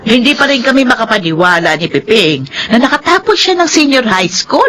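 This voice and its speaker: A woman's voice: a little high, moderate pace. An actress playing a proud, chatty motherly woman in a Filipino radio drama, exclaiming as she talks. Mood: amazed, approving. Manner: animated, exclaiming.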